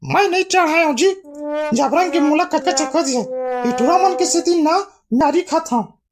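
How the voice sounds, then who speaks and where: A woman's voice: sits high, moderate pace, a crowd in the background, close to an everyday voice. A woman playing a coaxing, complaining village mother in a cartoon comedy, plaintive and bickering, with a Chhattisgarhi accent. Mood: defensive.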